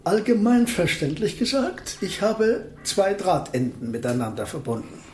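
High-voiced, courteous old physicist. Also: male voice, big pitch swings, moderate pace, a little mellow, reverberant, soft background music. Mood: pleased, modest. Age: older. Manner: polite, pedantic, formal phrasing. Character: elderly genius physicist, courteous, absent-minded, self-satisfied. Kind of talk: German radio play acting.

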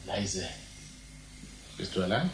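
An actor in a German radio drama playing an older uncle, secretive and wryly self-important, speaking in a hushed, conspiratorial way. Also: male voice, deep voice, very animated, slow, background noise, full character voice.

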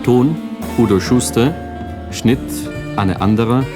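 A man's voice: mid-range voice, takes it slowly. A man announcing the title and cast of a radio play in a formal style. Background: loud plucked guitar music.

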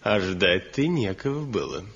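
A bitter, haggard master craftsman in an old radio drama, sullen and sarcastic. His very deep, husky voice drags slowly yet swings widely in pitch. The recording sounds dull and lo-fi. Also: sing-song, fairly bright tone, exaggerated voice. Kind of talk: 1970s radio play acting.

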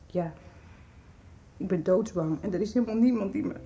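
A woman's voice: mid-range voice, normal speed, dark tone, muffled recording, lightly acted. An actress in a radio soap playing an earnest, vulnerable young woman. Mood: frightened, sad. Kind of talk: 1990s radio soap acting.

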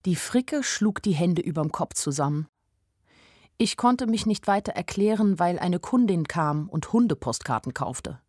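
Young naive narrator in a radio drama, mid pitch, wry, candid, a little anxious. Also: female voice, no room sound, clean studio sound. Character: naive, anxious young woman telling her story. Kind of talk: radio play first-person narration.

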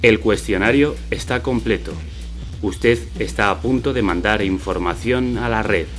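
A man performing scripted radio drama in the formal, deliberate, detached manner of an impassive announcer. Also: lowish voice, natural voice. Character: impassive male computer system and storyteller. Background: loud music.

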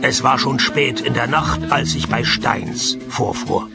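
An actor playing a jaded middle-aged private detective in a radio play, husky and deadpan. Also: male voice, mid-range voice, unhurried, over loud music. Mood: brooding, dry. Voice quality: husky, gravelly. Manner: deadpan, sardonic. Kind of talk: radio play, dry noir narration and dialogue.

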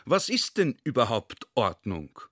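Bright, animated philosophizing military man. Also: medium pitch, measured pace, no echo, clean studio sound, heavily acted. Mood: earnest, expounding. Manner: rhetorical, expounding. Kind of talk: literary radio drama monologue.

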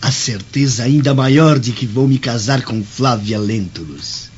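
A man's voice: mid-range voice, a little slow, darkish tone, lightly acted. A Brazilian man playing an exuberant, arrogant young Roman nobleman in a radio drama, bossy and exultant. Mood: triumphant. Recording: muffled, faint ambient noise.

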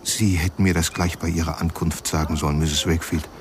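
An actor playing a deferential but suspicious man who questions slowly and deliberately in a radio play. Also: rumbling low, flat delivery, a little hiss or hum. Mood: probing. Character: deferential but suspicious male acquaintance, probing.

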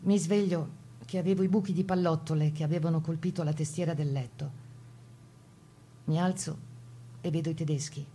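A woman of the Resistance telling her own story. Her voice sits fairly low, and she speaks in plain, measured phrases, as in a radio testimony. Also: fairly even intonation, dark tone, a little hiss or hum. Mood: grave, recollecting. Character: Italian woman partisan recounting wartime escape and sabotage. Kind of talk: dramatised testimony monologue for radio.

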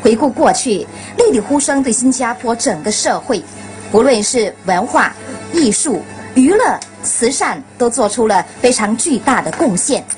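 A woman presents a radio programme. Her voice is fairly high, and soft music plays underneath. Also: expressive, normal speed. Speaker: radio host.

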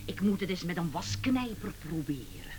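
An actress playing the neglected, chatty wife of an inventor in a comic radio play, exclaiming and complaining dramatically. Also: female voice, on the low side, big pitch swings, moderate pace, a little mellow, a little hiss or hum.

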